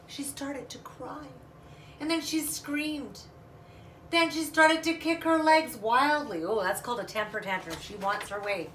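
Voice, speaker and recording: A woman's voice: high-pitched, a little slow, a bit bright. A woman reading a children's book aloud expressively, adding casual asides as storyteller. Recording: roomy, distant mic, faint noise.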